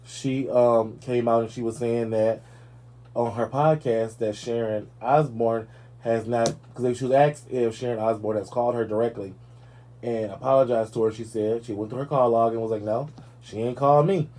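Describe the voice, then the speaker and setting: A man's voice: medium pitch, moderate pace. A man hosting a live talk show, chatting casually and sassily about celebrity gossip, with playful asides. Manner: sassy, animated, playful asides.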